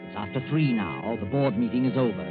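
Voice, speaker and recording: A man's voice: medium pitch, lively intonation, brisk, narrow, tinny audio, lightly acted. An actor playing a sardonic, all-knowing male narrator of a 1940s radio suspense drama, with an ironic delivery. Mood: knowing, ominous. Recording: scratchy, phone-thin 1940s radio recording, loud music.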